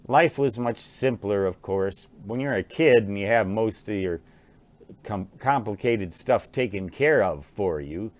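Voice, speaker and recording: Slow-talking older American man, low voice. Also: expressive, darkish tone. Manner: musing, halting, often repeating words. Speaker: vlogger, writer and ham radio hobbyist. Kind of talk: reflective rambling monologue.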